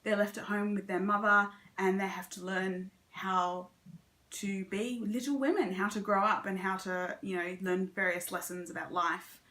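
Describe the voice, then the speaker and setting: A woman's voice: mid-range voice, steady tone, moderate pace, bright, forceful tone, echoey room. A woman giving a chatty, casual book review as a vlogger. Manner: chatty, conversational.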